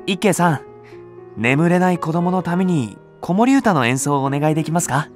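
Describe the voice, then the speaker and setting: An actor playing a gentle, patient young male nursery teacher, speaking in a soft, soothing way. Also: on the high side, animated, normal speed, soft background music, lightly acted. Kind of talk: anime voice drama acting.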